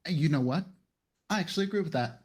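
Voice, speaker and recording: A man's voice: on the high side, lively intonation, fast talker, slightly rough. A man playing a tabletop roleplaying game, improvising dialogue and narrating his character's actions in a casual, chatty way.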